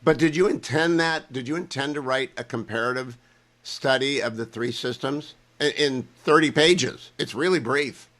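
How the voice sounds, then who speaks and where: A man's voice: medium pitch, normal speed, a bit bright. A man hosting a talk radio show, interviewing an author with chatty, wandering questions. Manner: chatty, builds long questions with asides.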